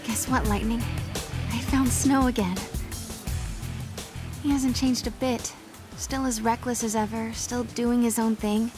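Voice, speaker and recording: Casting: actress as earnest young heroine for a game, high voice, caring, over loud score. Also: female voice, level tone, unhurried, close to an everyday voice. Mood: wistful, exasperated. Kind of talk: dramatic video game voice acting. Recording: loud game music behind the voice.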